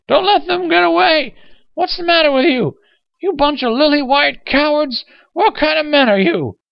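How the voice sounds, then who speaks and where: A frail, hateful old war veteran from an audiobook story. The voice is pushed well above a normal male pitch, wheezy and indignant, snapping and complaining. The recording is dry and a little muffled. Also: moderate pace, creaky, no room sound. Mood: screaming rage. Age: elderly. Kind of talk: audiobook character acting.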